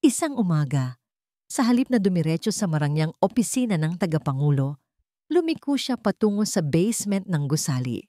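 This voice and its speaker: A woman's voice: on the low side, very animated, normal speed, mellow, no echo, clean studio sound. A woman with a Filipino accent reading a scripted dramatic story as its narrator.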